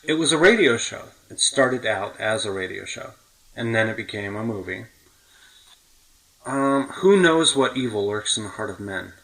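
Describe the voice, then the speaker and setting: A man's voice: fairly low pitch, expressive, moderate pace, fairly bright tone, low-quality recording. A middle-aged man with an American accent, hosting a podcast in casual, reminiscing chat. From United States. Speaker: podcast host.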